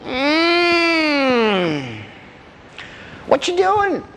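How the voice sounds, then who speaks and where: A man's voice: huge pitch swings, extremely slow, bright, forceful tone, a little hiss or hum, full character voice. A man voicing a wily old backwoods grandfather in a folksy storytelling act, playing bewildered. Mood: puzzled, folksy. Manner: folksy, hesitant questioning that breaks into shrill hollering.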